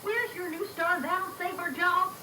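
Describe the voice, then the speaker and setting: A woman playing a gruff, grumbling middle-aged male studio boss in a story read on an old reel-to-reel tape. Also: extremely high pitch, fairly even intonation, measured pace, sharp tone, full character voice. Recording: echoey, noisy reel-to-reel tape.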